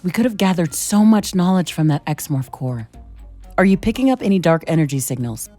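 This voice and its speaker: An actress playing an earnest, curious scientist-commando who pilots a mecha suit in a sci-fi game, talking in a measured, thoughtful, professional way. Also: female voice, on the low side, animated, warm tone, soft background music. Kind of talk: scripted sci-fi game dialogue.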